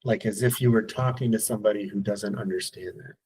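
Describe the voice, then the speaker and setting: A man hosting an interview, asking questions in a casual, gently probing way. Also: on the low side, steady tone, quick pace, slight background noise, low-quality recording. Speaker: interview host.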